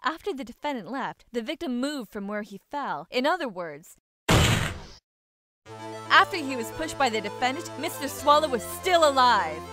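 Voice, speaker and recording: A woman voicing a determined, confident young female defense lawyer, assertive and deliberate, in a courtroom fan dub. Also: high-pitched, sing-song. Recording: music behind the voice.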